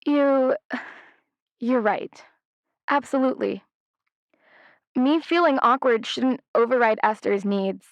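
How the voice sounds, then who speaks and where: A woman's voice: fairly high pitch, no room sound. A woman playing a flighty, apologetic, affectionate ghost in an audio drama, speaking hesitantly as a caller to an advice show over a lo-fi phone line. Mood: sheepish, apologetic. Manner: hesitant, halting.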